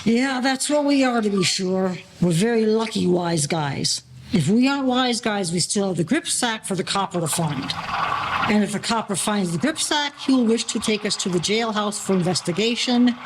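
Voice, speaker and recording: Woman playing a weary gangster over loud noise. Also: mid-range voice, expressive, lightly acted. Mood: wry, fed up. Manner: wry, deliberate gangster talk. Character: weary, fatalistic gangster on the run. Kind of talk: 1940s radio play acting. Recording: clearly noisy, vehicle-like background noise.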